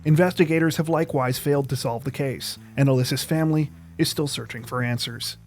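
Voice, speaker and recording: A man reads scripted true crime narration in a measured, serious manner. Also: medium pitch. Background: plucked guitar music.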